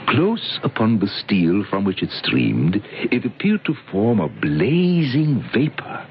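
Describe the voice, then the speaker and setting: An actor playing a persuasive professor who ardently believes in mystical forces, courtly and insistent, in old-time radio drama style. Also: male voice, medium pitch, huge pitch swings, takes it slowly, creaky, heavily acted. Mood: fervent lecturing. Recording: muffled radio recording with noise.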